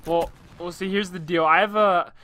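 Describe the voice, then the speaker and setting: A man's voice: sits high, fairly even intonation, moderate pace, bright, forceful tone. A young man making casual prank calls, rambling and pleading in colloquial slang. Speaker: prank caller and video host. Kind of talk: casual prank phone chat.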